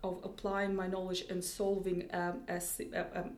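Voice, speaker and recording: A woman's voice: fairly low pitch, completely flat delivery, normal speed, a little mellow, reverberant. A woman with a Russian accent, a tech executive guest on a podcast, speaking thoughtfully with many restarts and repeated words. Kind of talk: podcast interview.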